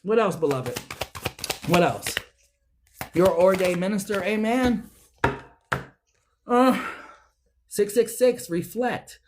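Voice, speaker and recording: A woman giving a tarot reading to her viewers, slangy and profane, preaching with loads of enthusiasm and a voice that swings high and low. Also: slow pace, long pauses, slight background noise. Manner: talks straight to viewers as a group. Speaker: tarot reader. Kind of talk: casual tarot reading to camera.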